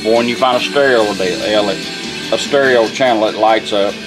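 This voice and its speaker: A man's voice: on the low side, normal speed, music in the background, rough recording. A plainspoken, folksy man giving a casual product demonstration as a radio restorer. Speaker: radio restorer presenting his work.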